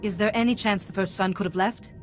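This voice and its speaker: Woman playing a composed Jedi heroine, medium pitch. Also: fairly even intonation, fast talker, faint music behind, lightly acted. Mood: firm. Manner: composed, firm. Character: calm, resolute female Jedi heroine. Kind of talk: video game cutscene acting.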